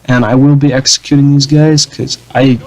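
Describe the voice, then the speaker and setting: A young man talks through his strategy campaign in an unhurried, meandering way. His voice is flat and a bit dull, with lots of ums, uhs and restarts. His pitch sits in an ordinary middle range for a man. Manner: rambling, many fillers and restarts. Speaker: gaming channel host. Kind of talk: casual gameplay commentary.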